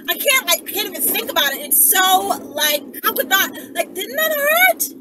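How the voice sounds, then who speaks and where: A woman chatting casually in a rambling storytime to her followers, animated, slangy and profane, often tagging her sentences with little check-in questions. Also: extremely high pitch, moderate pace. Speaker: vlogger telling stories to followers. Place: inside a car while driving. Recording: noisy moving car, distant mic.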